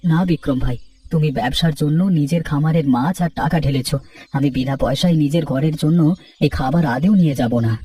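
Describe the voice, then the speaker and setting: Man playing a humble poor villager, fairly high voice. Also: moderate pace, dark tone, breathy edge. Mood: humble, grateful. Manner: earnest, deferential. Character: humble, grateful poor rickshaw puller and husband. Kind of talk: dubbed cartoon dialogue. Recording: distant-sounding, music underneath.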